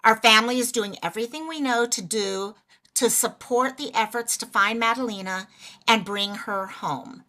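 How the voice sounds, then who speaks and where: Bright-voiced woman chatting on a livestream. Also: on the high side, normal speed. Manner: chatty, greets viewers by name. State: losing her voice. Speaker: livestream host. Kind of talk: casual livestream chat.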